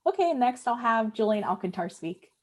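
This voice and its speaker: A woman's voice: on the high side, very animated, moderate pace. A woman moderating a panel, loose and informal as she introduces speakers. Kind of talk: hosting a panel.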